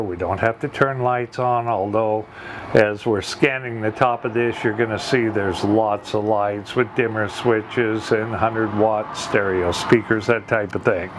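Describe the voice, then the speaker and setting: A middle-aged salesman with a naturally loud voice walks through features in an eager, unhurried patter, at an ordinary pitch for a man. It is camera audio with clear traffic rumble behind. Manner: enthusiastic, listing features, loud voice. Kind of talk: walkaround sales tour. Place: outdoors by a trailer on a lot, then inside the trailer. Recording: camera audio with road noise. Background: road and traffic noise.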